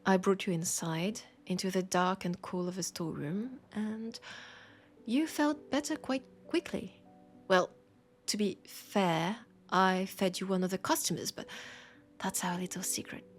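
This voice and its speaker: A woman with a French accent playing a teasing, warm bookshop keeper in an intimate audio roleplay, soft and playful, often slipping toward a whisper. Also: medium pitch, unhurried, soft background music, lightly acted. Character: teasing, warm young-sounding human bookshop keeper, chatting to vampire.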